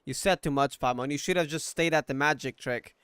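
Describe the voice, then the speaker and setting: A man's voice: on the high side, brisk, clear, resonant tone, no room sound, clean studio sound. A man giving casual reaction commentary on a story game, with murmured asides and chuckles. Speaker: gamer reacting to a story playthrough. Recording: faint game music behind. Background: game dialogue and soft music.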